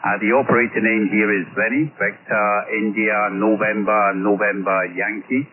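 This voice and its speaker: A man's voice: lowish voice, flat delivery. Guy on ham radio, ums and repeats a lot, tinny signal w static. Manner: halting, with very frequent ums and repetitions. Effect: radio transmission. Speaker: amateur radio operator. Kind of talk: ham radio contact chat. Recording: phone-thin radio signal with clear static noise.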